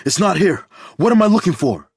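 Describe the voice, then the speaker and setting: A man's voice: sits high, big pitch swings, fast, fairly bright tone, slightly rough, no room sound, studio quality, voice pushed up, full character voice. A man voicing a panicked young man with a cracking voice, tending his girlfriend. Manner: frantic, voice cracking.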